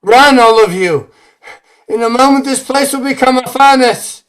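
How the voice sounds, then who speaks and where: A man's voice: extremely high pitch, normal speed, a bit bright. A man playing a solemn, pious holy man battling evil, grave and declamatory, in an old time radio horror play. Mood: alarmed.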